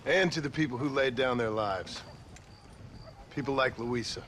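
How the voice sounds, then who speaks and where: A man playing a gruff, sardonic, stubborn gunslinger with an American accent in a video game. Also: mid-range voice, moderate pace, fairly bright tone, a little hiss or hum, lightly acted. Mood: dry, sincere. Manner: blunt, dry. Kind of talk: video game drama acting.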